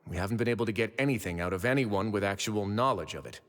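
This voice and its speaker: A low male voice of an actor plays a shrewd, courteous game duke. He speaks formally and with measured calm. The sound carries long reverb over clear noise. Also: lightly acted. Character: composed, courteous duke and shrewd investigator, adult man. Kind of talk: scripted video game voice acting.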